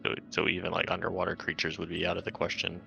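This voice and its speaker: A man's voice: low voice, monotone, fast, vocal fry, bright, forceful tone, faint music behind. A man playing a tabletop game, asking casual questions in a hesitant, inquiring way. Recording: dull sound, faint background noise.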